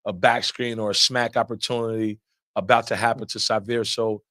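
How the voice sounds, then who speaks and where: A man's voice: on the low side, moderate pace, dry recording. Earnest man coach with frequent restarts. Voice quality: loud voice. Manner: repeats words often while building answers, steady and earnest. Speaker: assistant basketball coach. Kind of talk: press conference answers.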